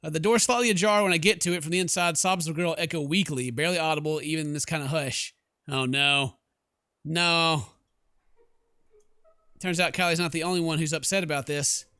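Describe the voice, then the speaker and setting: Bright, playful male gamer. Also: a little high, steady tone, fairly quick, clear, resonant tone, no room sound, studio quality. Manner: playful, exaggerated reactions. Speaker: gaming video host. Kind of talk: let's play commentary, reading game text aloud.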